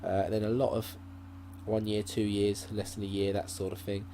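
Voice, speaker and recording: A man talks his way through a game save in a loose, thinking-aloud ramble, his voice sitting fairly low and mellow. A faint hum runs underneath from the laptop beside his desk mic. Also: little pitch movement, normal speed. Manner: rambling, thinking aloud with many fillers and restarts. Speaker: gaming channel host. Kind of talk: casual let's-play commentary.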